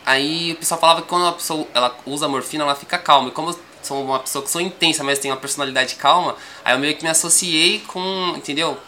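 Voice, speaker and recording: A young man with a São Paulo accent talks as a podcast guest in a calm, rambling way, dotting his sentences with little tag questions. His tone is very bright. A faint hiss sits behind him. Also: a little high, fast, few pauses. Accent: São Paulo Portuguese. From Brazil. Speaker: rapper guest. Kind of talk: casual podcast interview.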